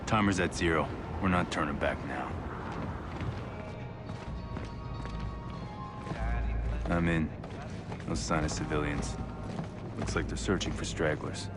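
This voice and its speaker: An actor playing a middle-aged special-ops agent in a video game, gruff, terse and commanding. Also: male voice, very deep voice, steady tone, normal speed, music in the background. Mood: focused. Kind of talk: cinematic video game acting.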